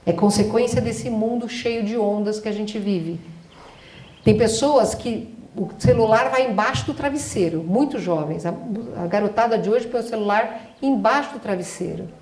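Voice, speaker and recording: A woman's voice: medium pitch, normal speed, darkish tone. A middle-aged woman giving a spiritual lecture as a doctor, talking emphatically and asking the audience rhetorical questions. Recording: echoey hall.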